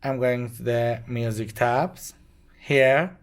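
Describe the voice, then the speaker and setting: Halting man demonstrating a device, non-native English. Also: medium pitch, fairly even intonation. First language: not English. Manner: halting, short simple phrases. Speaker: product demonstrator. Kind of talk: hands-on product tutorial, unscripted step-by-step explanation. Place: indoors at a demo bench with devices.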